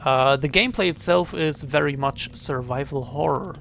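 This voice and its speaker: A man's voice: on the high side, normal speed, gravelly, fairly bright tone, slight background noise. A man giving casual livestream commentary on a game, rephrasing himself as he goes. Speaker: game streamer. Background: faint game music.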